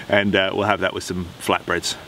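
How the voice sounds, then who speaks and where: Relaxed man cooking outdoors. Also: low voice, gravelly. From England. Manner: relaxed, chatty, laughs easily. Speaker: outdoor vlogger. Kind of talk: casual chat. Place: outdoors in damp woodland by a river. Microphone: camera mic, close to face. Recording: outdoor wind and rain noise.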